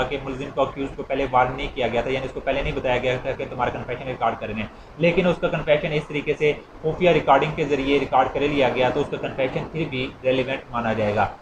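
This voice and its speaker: A man gives an informal explanatory lecture, repeating points conversationally and illustrating them with everyday examples. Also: mid-range voice. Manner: repetitive, conversational teaching with acted-out everyday examples. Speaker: law lecturer. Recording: echoey room with clear background noise.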